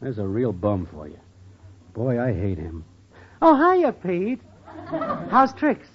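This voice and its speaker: A man's voice: mid-range voice, huge pitch swings, slow. An actor playing a brash, lazy, wisecracking unemployed boyfriend in an old radio sitcom, full of slangy wise-guy talk. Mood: griping, then falsely chummy. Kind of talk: 1940s-50s radio sitcom acting. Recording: dull, noisy old radio recording.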